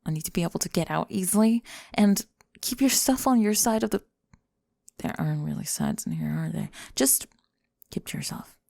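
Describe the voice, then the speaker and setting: A young woman playing a guarded, flustered college student in an intimate ASMR roleplay, speaking softly and haltingly, often slipping into whispers. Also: lowish voice, big pitch swings, vocal fry, no room sound, clean studio sound, full character voice. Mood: irritated, guarded. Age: young adult. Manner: halting, sighing, self-conscious. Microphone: close microphone, dry.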